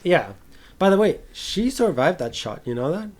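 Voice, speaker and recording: A man co-hosting a film podcast, talking casually and haltingly, with some informal swearing. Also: sits high, big pitch swings, a little mellow.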